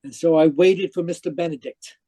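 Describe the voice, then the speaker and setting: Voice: mid-range voice, steady tone, brisk, mellow, husky, no echo, natural voice. An actor in a radio mystery playing a distraught, newly dead spiritualist, dramatic and earnest. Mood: haunted narration. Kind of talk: old-time radio mystery acting and narration.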